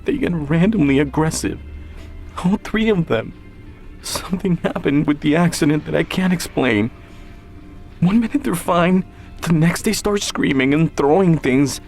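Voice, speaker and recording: A man's voice: fairly high pitch, animated, unhurried, creaky, soft background music, pitched above the performer's own voice. A man voicing a distraught, unstable woman in an audio story, tearful and accusing in manner. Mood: tearful, haunted. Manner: sobbing, snapping, shouting.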